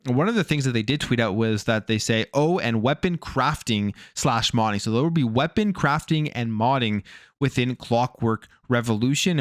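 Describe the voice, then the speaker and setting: A man's voice: medium pitch, fairly bright tone, no room sound, clean studio sound. A man presenting video game news as a host, in a casual commentary style. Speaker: gaming news YouTube host.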